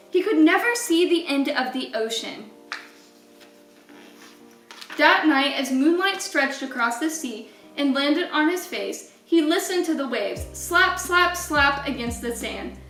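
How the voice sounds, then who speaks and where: A woman reading a children's story aloud in an expressive storytelling style, with deliberate, emphatic wording. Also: sits high, roomy sound, far from the mic, faint music behind.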